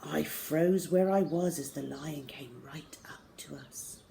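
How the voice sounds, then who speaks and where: A middle-aged woman reading a children's novel aloud to schoolchildren in a measured, deliberate way. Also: low-pitched, vocal fry, dark tone, a little hiss or hum. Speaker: storyteller for schoolchildren. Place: small plain room indoors.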